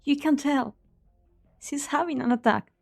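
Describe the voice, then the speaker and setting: A woman's voice: high-pitched, unhurried, gravelly, dry recording, soft background music. A woman playing a cool, guarded hostage doctor in an amateur game fan dub, with restrained, precise delivery.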